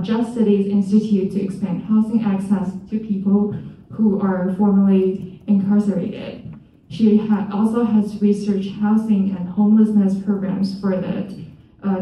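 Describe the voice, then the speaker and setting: A woman hosting an event, reading out announcements and introducing a speaker in a hesitant way with many fillers. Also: medium pitch. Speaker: event host. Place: event hall. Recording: echoey hall, from far off, dull sound.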